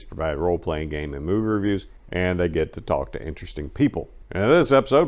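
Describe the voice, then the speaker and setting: Male storyteller, slightly low voice, highly varied intonation, for a theatrical first-person narrative read with emphasis and measured pauses. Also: darkish tone. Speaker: host and story reader. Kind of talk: dramatic first-person memoir reading.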